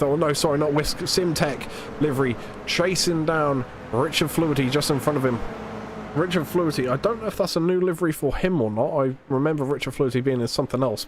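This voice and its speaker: A man's voice: mid-range voice, noisy background. A British man commentating alone on a live sim race, chatty and self-deprecating, his voice a bit stuffed up from hay fever. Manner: chatty, self-deprecating, quick play-by-play with fillers.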